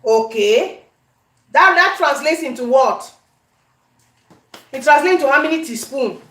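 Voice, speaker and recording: A woman with a Cameroonian accent chatting casually to viewers as she cooks, animated and conversational, often repeating her questions and phrases. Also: high-pitched, unhurried. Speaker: cooking vlogger. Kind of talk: casual live chat while cooking. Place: indoor home kitchen. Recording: echoey room.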